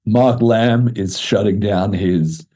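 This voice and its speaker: Low, mellow-voiced man hosting a podcast. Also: slow, husky. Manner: informal, slangy. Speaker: podcast host. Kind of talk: casual podcast chat.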